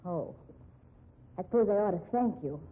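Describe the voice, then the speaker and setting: An actress playing strong-willed women of a detective story in an emphatic 1950s radio drama style, with a husky voice on an old muffled recording. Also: female voice, mid-range voice, animated, normal speed, lightly acted. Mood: bitter, defiant. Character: women in a detective story: cajoling girlfriend, bitter rescued patient.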